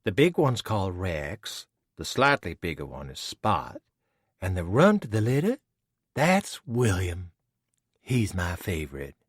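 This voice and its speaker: Man putting on a sly teasing villainess, lifted a little, lively, dry close sound. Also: low-pitched, measured pace, husky, no echo, full character voice. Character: sly, teasing female villain, dark-haired.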